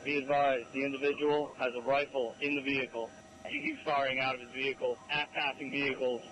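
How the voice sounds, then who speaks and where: A man's voice: mid-range voice, fairly even intonation, a little fast, poor audio. A male officer speaks in police dispatch style through a radio. The transmission has faint music playing behind it.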